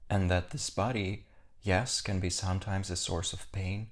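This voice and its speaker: A man leading a guided meditation as a teacher, speaking calmly in short, measured phrases with pauses. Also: sits low.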